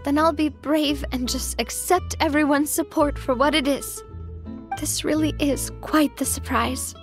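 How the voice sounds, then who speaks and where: A woman's voice: high-pitched, a little slow. An actress voicing an earnest, sentimental young woman who leads a clan, easily embarrassed, in a video game. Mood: grateful, sincere. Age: young adult. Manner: earnest, expressive, hesitant when flustered. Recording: music behind the voice.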